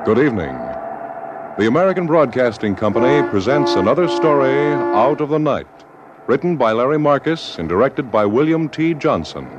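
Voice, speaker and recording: A male radio announcer in formal 1940s style. His pitch swings widely at a medium height. The recording is dull and a little noisy. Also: lively intonation, moderate pace.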